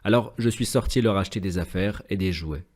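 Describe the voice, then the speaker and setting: A man voicing a gentle, pious father patiently teaching his children in a French cartoon, measured and didactic. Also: low voice. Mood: calm explaining.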